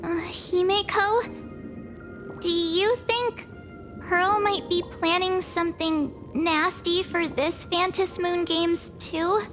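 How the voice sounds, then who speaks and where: A woman's voice: extremely high pitch, steady tone, takes it slowly, music in the background. A woman voicing a cute, curious, slightly wary childlike companion in a video game, asking questions in a simple, earnest way. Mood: worried, wondering. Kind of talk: video game character dialogue.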